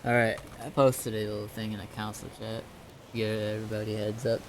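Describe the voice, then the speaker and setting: A man's voice: fairly low pitch, little pitch movement, brisk, a little hiss or hum. A man chatting casually and informally in a laid-back way while playing an online roleplay game. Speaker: player in an online zombie survival roleplay. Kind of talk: casual gaming chat.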